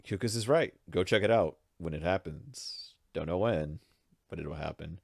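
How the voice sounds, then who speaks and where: A man's voice: deep voice, normal speed, creaky, a little mellow, no echo. A man co-hosting a casual podcast with playful, teasing banter. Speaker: podcast co-host. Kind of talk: casual group chat about pop culture.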